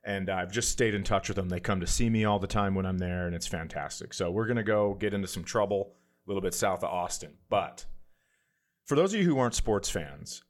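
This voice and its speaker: A man with a fairly low voice co-hosts a comedy podcast. His delivery is casual and conversational, moving between blunt, profane teasing and easy storytelling. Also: a little fast. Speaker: podcast co-host. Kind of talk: casual comic banter and storytelling.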